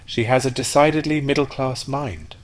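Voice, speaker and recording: A man's voice: mid-range voice, moderate pace, pitched above the performer's own voice, close to an everyday voice. A man voicing a conceited male firework rocket who talks in a haughty, lecturing way. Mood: shrill. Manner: haughty, self-important, lecturing.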